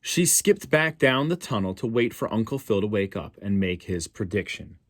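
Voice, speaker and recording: A man's voice: fairly low pitch, very animated, moderate pace, dry recording. A man reading a picture book aloud expressively, as a storyteller for schoolchildren.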